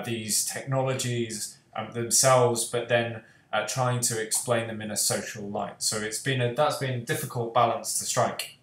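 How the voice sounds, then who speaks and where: A young man hosting an online panel on a video call, speaking earnestly with many fillers. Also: mid-range voice. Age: young adult. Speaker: host and author. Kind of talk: panel discussion. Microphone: headset on video call. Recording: roomy echo.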